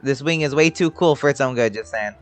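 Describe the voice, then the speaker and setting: A man's voice: mid-range voice, a little fast. A young man giving casual gameplay commentary as a streamer, with slangy, sweary, jokey phrasing. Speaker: gaming streamer. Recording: game music under commentary.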